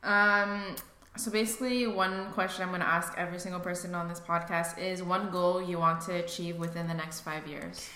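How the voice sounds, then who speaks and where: A woman's voice: on the low side, fairly even intonation, moderate pace, pushed and bright. A young woman hosting a casual podcast interview, upbeat and friendly as she asks questions. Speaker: podcast host. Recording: echoey room, faint noise.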